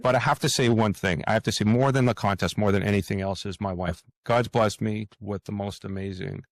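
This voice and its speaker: A man's voice: lowish voice, fairly even intonation, a little fast, no echo, poor audio. A man speaking reflectively as an interview guest, earnest and hesitant, often repeating words and phrases. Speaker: author, podcast guest.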